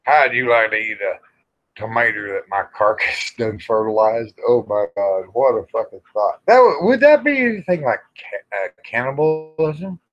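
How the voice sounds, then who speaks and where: A man's voice: mid-range voice, big pitch swings, moderate pace, faint music behind. A middle-aged man, hosting a casual livestream chat in a folksy, rambling, salty-tongued way. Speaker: livestream host. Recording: dull lo-fi livestream audio.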